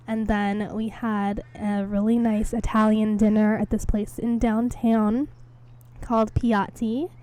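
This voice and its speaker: A young woman hosting a casual solo podcast, chatty and rambling, her voice hoarse from a cold. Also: mid-range voice, warm tone. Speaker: podcast host. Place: home, indoors.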